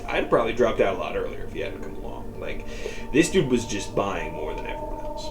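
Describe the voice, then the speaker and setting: A man's voice: medium pitch, fairly bright tone, a little hiss or hum, low-quality recording. A man reading as a rambling, cursing drug chemist. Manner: rambling, full of fillers and swearing. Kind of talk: audiobook dialogue reading.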